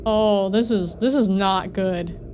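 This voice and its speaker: A woman live streamer commenting casually on a horror game, halting and repeating her phrases when startled. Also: medium pitch, darkish tone, slight background noise. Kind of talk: casual game commentary mixed with reading game text aloud. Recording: music behind the voice. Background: game music.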